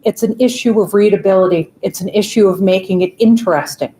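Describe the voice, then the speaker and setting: Female panelist, measured explainer, roomy auditorium sound. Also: mid-range voice, dark tone, a little hiss or hum. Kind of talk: answering an audience question on a panel. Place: large auditorium. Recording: echoey auditorium.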